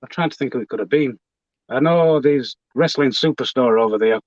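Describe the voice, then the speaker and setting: A man talks about his hobby in a plain, halting manner, with his pitch in a middle range for a man. His voice comes through a dull, lo-fi call line and sounds dry, with no room echo. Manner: halting, plainspoken, many fillers. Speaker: professional wrestler guest. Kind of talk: casual interview chat.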